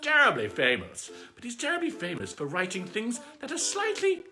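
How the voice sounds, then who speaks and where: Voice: mid-range voice, wildly swooping pitch, moderate pace, pushed and bright, faint music behind. A performer voicing a pompous aristocratic cat lord, gushing theatrically and affectionately as host of a live bedtime story show. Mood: excited, grand. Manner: pompous, gushing, luvvie exclamations. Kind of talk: theatrical livestream greeting and shout-outs.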